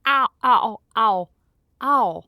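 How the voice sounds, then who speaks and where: A woman's voice: lowish voice, animated, unhurried, slight background noise. A woman playing a giddy, lovelorn late-night radio host in an audio drama, flustered and theatrical in manner. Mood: pained, panicked.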